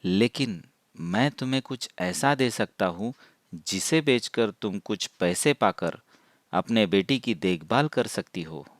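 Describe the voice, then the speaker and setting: A magical golden parrot from a cartoon bedtime tale, played by a man. He speaks in a low voice with very little room sound around it, as a generous but stern creature. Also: expressive, slow pace, no echo, studio quality. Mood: kind, reassuring. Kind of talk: cartoon bedtime story dialogue.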